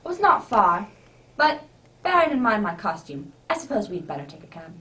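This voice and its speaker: A woman playing a genial, easily flustered older English gentleman narrator in a radio drama, with avuncular, old-fashioned exclamations. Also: mid-range voice, very animated, normal speed. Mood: flustered, good-natured. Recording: distant microphone.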